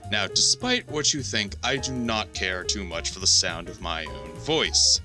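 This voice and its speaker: A man playing a gruff, blunt chief engineer and former boxer in an audio drama. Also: fairly low pitch, sing-song, a little slow, sharp tone, faint music behind, heavily acted. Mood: stern.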